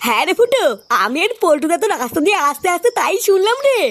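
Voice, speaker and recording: Casting: crude, excitable village rascal for comic cartoon, tiny shrill voice, rapid slangy patter. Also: exaggerated voice. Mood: alarmed, excited.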